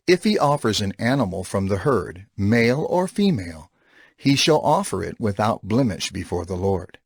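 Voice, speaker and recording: A man narrating scripture as a scripted audio drama, solemn and measured, with deliberate emphasis. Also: lowish voice, slightly rough, no echo, clean studio sound. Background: music.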